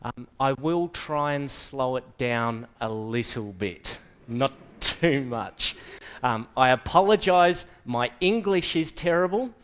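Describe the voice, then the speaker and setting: A middle-aged man with an Australian accent giving an enthusiastic software presentation to a live audience, quizzing the room and dropping quick asides. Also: medium pitch, lively intonation, smooth. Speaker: conference presenter. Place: lecture hall with seated audience.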